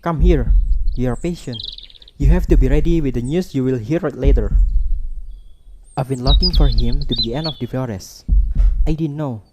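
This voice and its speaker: A young man with an Indonesian accent playing an agitated villager who calls out loudly in an amateur radio drama. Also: mid-range voice, normal speed, pauses often, close to an everyday voice. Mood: agitated, urgent. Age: young adult. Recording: noisy, birds and insects behind. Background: chirping birds and insects.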